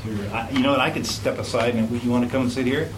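Guy introing agenda items at a board meeting, kinda halting, echoey room w lots of noise. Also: mid-range voice, steady tone. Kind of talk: public meeting presentation. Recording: echoey, noisy meeting room, distant mic.

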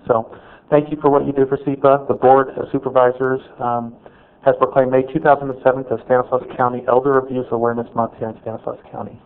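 A man chairing a public board meeting, speaking haltingly with repeated words. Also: medium pitch, flat delivery, clean tone. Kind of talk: formal meeting address. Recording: tinny phone-like meeting audio.

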